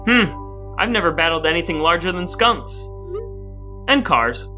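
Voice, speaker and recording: A man's voice: a little high, lively intonation, measured pace, sharp tone, soft background music. A man hosting a podcast with his brother, speaking in wordy, mock-grandiose phrases that move between scripted lines and banter. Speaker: podcast host.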